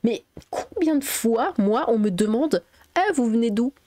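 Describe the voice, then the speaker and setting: Mellow, sarcastic French woman commentator. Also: fairly high pitch, lively intonation, unhurried, dry recording, clean studio sound. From Alsace, France. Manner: sarcastic, exasperated asides. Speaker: online commentator. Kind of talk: reading an article aloud with casual reaction commentary.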